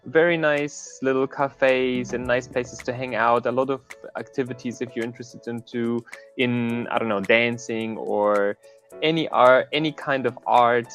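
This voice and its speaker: A man casually recommending places, relaxed and a little jokey. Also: mid-range voice, measured pace, faint music behind. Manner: relaxed, jokey, lists things. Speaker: interviewee.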